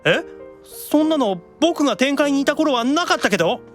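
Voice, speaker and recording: Sulky teasing demon youth, played by a man, animated pitch, quick retorts, anime radio drama with music underneath. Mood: grumbling, curious. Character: Leviathan, sulky teasing young male demon who loves games. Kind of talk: live anime-style audio drama acting.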